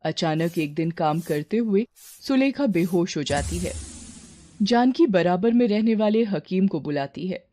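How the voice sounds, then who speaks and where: A woman's voice: on the low side, lively intonation, a little slow, dark tone. A woman reading a scripted moral story as its narrator.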